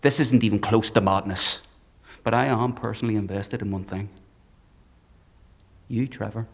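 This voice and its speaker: An actor playing a sadistic, fanatical villain in a dark fantasy audio drama, with taunting, declamatory delivery and a gravelly voice. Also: male voice, lowish voice, normal speed. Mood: menacing, taunting. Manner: taunting, declamatory, swings from hushed to screaming. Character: sadistic zealous male villain, menacing, theatrical, fanatical.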